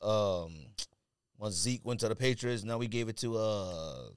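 A man's voice: fairly low pitch, animated, unhurried, clean tone, no echo, studio quality. A man co-hosting a podcast, chatting casually in a slangy, offhand way about his picks. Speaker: podcast co-host. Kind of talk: casual sneaker and sports podcast banter.

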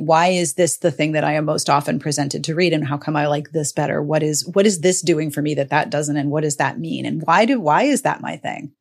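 Lower-voiced woman, wry podcast host. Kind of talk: casual, bantering podcast conversation.

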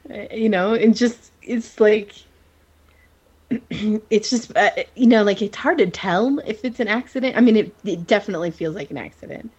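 A woman chatting casually as a podcast host in a giggly, animated way. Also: mid-range voice, normal speed, breathy edge, highs cut off. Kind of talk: casual TV recap chat.

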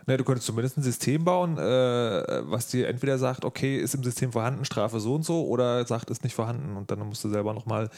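Casual man hosting a podcast, mid-pitched. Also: quick pace, few pauses, a little mellow. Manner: informal, colloquial, rephrases as he goes. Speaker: podcast host, interviewer. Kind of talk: casual chat, interview.

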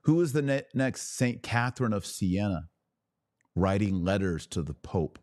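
A man's voice: fairly low pitch, lively intonation, a little slow, a little mellow, no room sound, clean studio sound. A man hosting a podcast, delivering a fervent religious monologue in an emphatic, exhorting manner with rhetorical questions and repetition. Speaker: podcast host.

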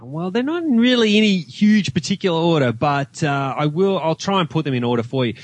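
A man's voice: fairly high pitch, animated, fairly quick. An Australian man talking casually about films as a podcast guest, with an emphatic, opinionated, slangy manner. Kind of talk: casual film discussion.

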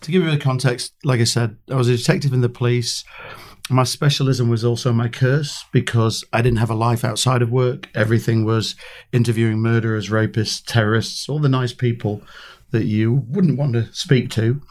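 A man from England, a former detective, speaking as an interview guest in a croaky voice from a cold, telling anecdotes with easy laughs. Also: medium pitch, fairly even intonation, moderate pace. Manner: anecdotal, self-deprecating, laughs easily. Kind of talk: casual storytelling interview.

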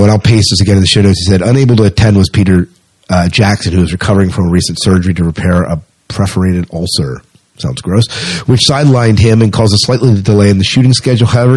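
Guy podcast host, lowish voice, just chatting casually about news. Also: darkish tone. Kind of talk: casual chat about sci-fi movie news.

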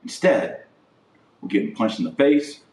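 A man's voice: fairly low pitch, expressive, measured pace, darkish tone. A man hosting a religious podcast, delivering an impassioned opinion monologue in a blunt, emphatic, repetitive way. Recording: roomy echo, distant from mic.

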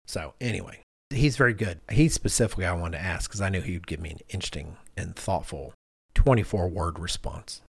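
A man's voice: low voice, a little fast, creaky, breathy edge, dry recording, clean studio sound. A man hosting a conversational podcast, talking informally and thinking aloud as he goes. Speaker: podcast host.

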